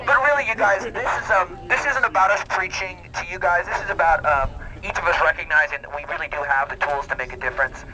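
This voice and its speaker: A man addressing a walk crowd outdoors through a megaphone, earnest and halting with many ums and restarts. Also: high-pitched. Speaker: band member addressing a charity walk crowd. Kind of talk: outdoor motivational speech. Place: outdoors at a university campus crowd. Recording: shaky handheld camera, faint background noise.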